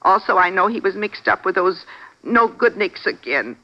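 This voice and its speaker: A woman's voice: mid-range voice, animated, normal speed, close to an everyday voice. Lady playing a worried motherly new landlady, folksy and emotional, very up and down, old dull radio sound. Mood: worried, confiding. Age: middle-aged. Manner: emotional, folksy, fretful phrasing. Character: anxious, motherly boarding house proprietress with a grown son. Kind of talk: 1950s radio drama acting. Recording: muffled vintage radio broadcast.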